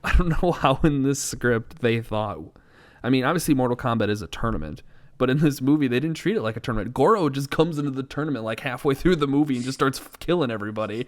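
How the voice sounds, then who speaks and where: Man with medium pitch, rambling casually. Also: fast. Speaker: podcast co-host. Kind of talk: casual chat, film discussion.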